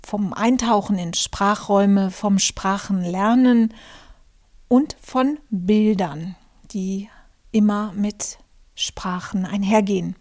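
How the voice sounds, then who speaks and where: A woman's voice: medium pitch, steady tone, slow, a little mellow. A German woman hosting her own podcast, talking through her thoughts in a reflective monologue with many fillers and restarts. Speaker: podcast host, journalist and author.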